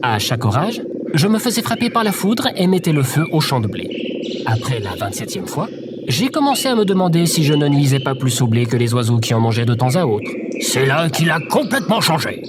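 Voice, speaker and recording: A man's voice: a little high, very animated, brisk, very noisy. A man playing eccentric, pompous scarecrow and tin-man characters, musing theatrically in a scripted audio drama. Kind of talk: scripted audio fiction acting.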